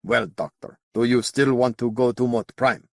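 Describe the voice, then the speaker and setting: A man's voice: mid-range voice, little pitch movement, normal speed, no room sound, clean studio sound, close to an everyday voice. A man voicing a stern, commanding admiral of a space navy, speaking formally in an audiobook. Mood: resigned.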